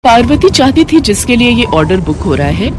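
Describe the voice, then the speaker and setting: A woman's voice: on the high side, big pitch swings, brisk, background noise. A woman reading a scripted moral story as its narrator. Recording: music bed behind the voice.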